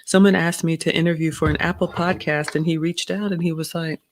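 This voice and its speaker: A woman's voice: low voice, fairly even intonation, a little fast. Woman, forties, from Ghana, live Q&A host, chatty, reads out viewer comments. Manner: chatty, reads viewer comments aloud, uses "y'all" and "you all". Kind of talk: casual live Q&A chat.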